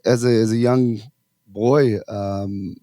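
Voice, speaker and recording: A man's voice: lowish voice, fairly even intonation, slow, warm tone, no echo, studio quality. A man from Russia, in his thirties, a youth hockey coach talking earnestly in an interview, with many fillers and restarts. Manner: earnest, talks in long runs with fillers and restarts. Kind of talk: casual interview talk.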